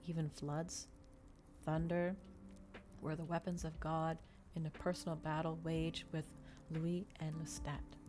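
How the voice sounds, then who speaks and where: A woman reads fiction in a hushed ASMR style. Her voice is deep for a woman, with a lot of gravelly fry and a dark, relaxed tone. The delivery is soft, measured and unhurried. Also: slight background noise. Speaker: ASMR streamer. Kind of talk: ASMR novel reading.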